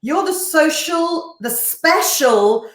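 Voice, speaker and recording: A woman co-hosting a live stream, chatting in an animated way and laughing easily as she introduces her guest. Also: high-pitched, takes it slowly. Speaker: co-host. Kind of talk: casual live-stream chat. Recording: roomy echo.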